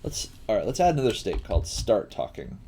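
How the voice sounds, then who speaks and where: A man teaching coding in a live tutorial, thinking aloud in a halting way with pauses mid-thought. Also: lowish voice, very animated, dark tone. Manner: halting, pausing mid-thought while working. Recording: clear background noise, keyboard typing.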